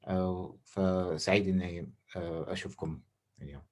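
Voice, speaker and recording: A man giving an informal welcome as workshop host, halting and switching between languages. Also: sits low, steady tone, a little mellow.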